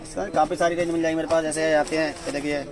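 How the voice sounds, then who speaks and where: A young man who runs a wholesale shop, giving a brisk sales pitch on a lapel mic and repeating every price to coax buyers. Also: little pitch movement. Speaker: wholesale shopkeeper. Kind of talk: sales pitch showing products. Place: crowded indoor wholesale shop. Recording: busy market crowd behind.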